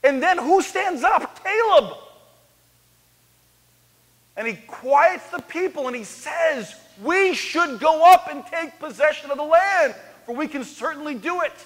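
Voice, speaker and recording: A man in his thirties preaching an animated sermon on a church stage, swinging from loud to hushed. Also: very high voice. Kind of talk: lively sermon. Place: church sanctuary, large, indoors. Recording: echoey church hall.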